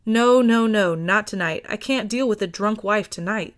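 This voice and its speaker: A woman's voice: medium pitch, moderate pace, natural voice. Woman acting small grouchy woman, huffy, bossy, complaining, exasperated yet fond, audiobook character. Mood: grumbling, fond.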